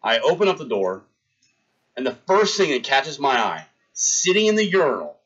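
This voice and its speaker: A man's voice: mid-range voice, very animated, moderate pace, slightly rough, highs cut off. A young man hosting a livestream, telling a rambling story in casual chat and talking to his viewers. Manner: rambling anecdote, talks to chat, shouts out followers. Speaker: livestream host.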